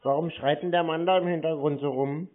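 A man on a casual prank phone call, answering deadpan and playing clueless. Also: a little high, a little fast. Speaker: scam-baiting prankster taking a call. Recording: tinny narrowband phone line.

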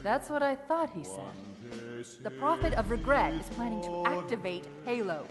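An actress playing a quick-witted, wry female AI companion in a video game, brisk and articulate. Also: on the high side, big pitch swings, over loud music. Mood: urgent briefing. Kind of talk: video game cutscene acting.

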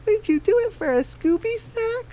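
Female chicken, voiced by a man, radio comedy, squeaky falsetto, snippy, sharp-tongued, fed up. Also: expressive, moderate pace. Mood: wry.

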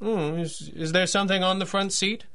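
An actor playing a righteous, dry-witted masked superhero with formal, theatrical diction in a 1930s-style radio drama. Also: male voice, sits high, steady tone, moderate pace, bright, forceful tone. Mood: coy, dry.

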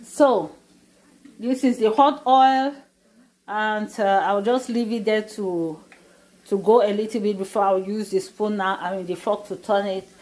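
A woman's voice: medium pitch, steady tone, a little slow, darkish tone. Middle-aged woman, cooking vlog host, talks casually through steps in her kitchen on a phone camera. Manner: chatty, explains step by step with many fillers. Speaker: cooking vlogger. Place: home kitchen.

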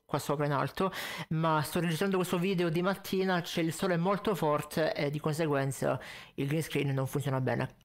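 A man, giving casual, critical commentary as a reaction video host into a close microphone. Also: sits high. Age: 20s. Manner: critical, explanatory. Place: indoor home streaming setup with green screen. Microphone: close condenser mic on boom arm with pop filter.

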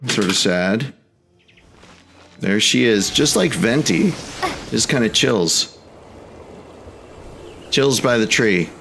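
A man's voice: fairly low pitch, expressive, slow pace, gravelly, pauses often, fairly bright tone, slight background noise. A man hosting a casual let's play, laid-back and chatting back to the game's characters. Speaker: gaming host.

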